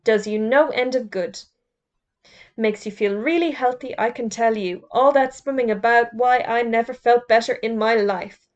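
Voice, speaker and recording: A cocky schoolboy bragging cheerfully, smug and full of himself, his voice pitched up and lively, as a woman reading aloud puts him on. He never stops talking in this storybook tale. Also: normal speed, dull audio, lightly acted. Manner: smug, chatty and boastful. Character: cocky, boastful schoolboy fresh from being a frog.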